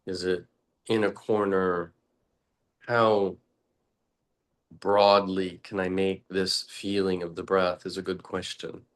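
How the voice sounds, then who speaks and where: A man leading a guided meditation talk as a teacher, speaking deliberately in short, halting phrases with long pauses. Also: low-pitched, little pitch movement.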